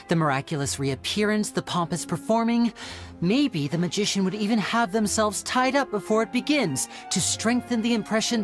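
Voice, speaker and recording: An actor voicing a young male stage magician in a video game, smooth, theatrical and explanatory. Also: high-pitched. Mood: explaining. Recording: game audio with soft music.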